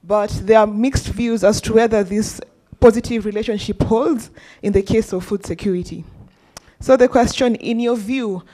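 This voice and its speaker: A woman's voice: on the high side, dark tone, poor audio. A woman agronomist in the audience politely reading a prepared question to a panel. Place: conference hall audience.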